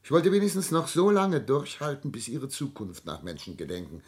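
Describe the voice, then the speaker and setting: Older male actor playing a melancholy, cultured widower in a radio drama; theatrical, deliberate, widely swinging intonation. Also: medium pitch, lively intonation, close to an everyday voice. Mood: grave, confiding. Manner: theatrical, deliberate, literary phrasing. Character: melancholy, cultured ageing widowed patriarch, brooding and expansive. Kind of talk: German radio play acting.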